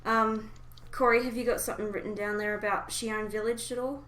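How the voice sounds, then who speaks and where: A woman's voice: on the high side, steady tone, a little fast, fairly bright tone. Woman podcast host in an echoey room. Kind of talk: casual fan discussion of an animated series.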